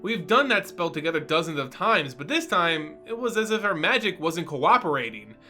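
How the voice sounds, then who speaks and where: A man's voice: fairly high pitch, sing-song, moderate pace, pushed and bright, faint music behind. A man voicing an earnest, worried, studious young twin brother who insists, in a dramatic fantasy story reading.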